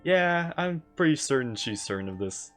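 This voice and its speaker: A man's voice: fairly high pitch, very animated, moderate pace, fairly bright tone, faint music behind. A man giving casual live reaction commentary as a streamer, in short laconic remarks.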